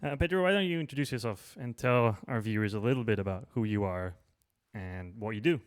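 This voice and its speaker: A man's voice: on the low side, fairly quick. A man hosting a casual live-stream interview, chatty and informal with offhand swearing. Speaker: host.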